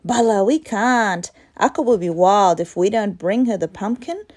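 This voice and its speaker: A woman's voice: medium pitch, moderate pace, darkish tone, natural voice. A woman voicing a dutiful, anxious, earnest young girl in a children's storybook. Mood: worried, pleading. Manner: earnest, exclaiming.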